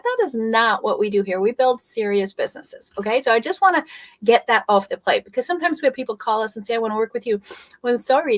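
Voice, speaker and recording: Upbeat German-born woman business coach. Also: on the high side. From Europe. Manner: energetic, persuasive, tag questions "okay?". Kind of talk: motivational webinar talk.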